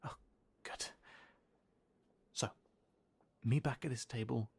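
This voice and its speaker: A man's voice: medium pitch, fairly even intonation, slow, creaky, darkish tone, husky, dry recording, exaggerated voice. A British man playing a young cat townsman in an audio roleplay, informal and hesitant, guarded but friendly as he guides the listener. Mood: quietly helpful. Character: wary, helpful young male cat townsman guiding a human.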